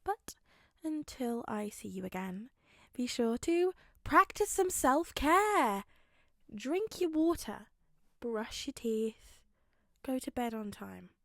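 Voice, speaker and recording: A woman's voice: on the high side, creaky, fairly bright tone, no room sound, clean studio sound. A woman reading fiction aloud and chatting casually to listeners as the host of her channel. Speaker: podfic reader and channel host. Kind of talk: expressive fiction narration and casual chat to listeners.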